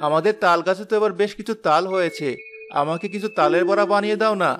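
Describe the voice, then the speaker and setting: A man's voice: sits high, fast talker, a bit bright, slight background noise. A man voicing a young, food-loving village boy in a cartoon, childlike and wheedling. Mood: pleading. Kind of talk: cartoon voice acting.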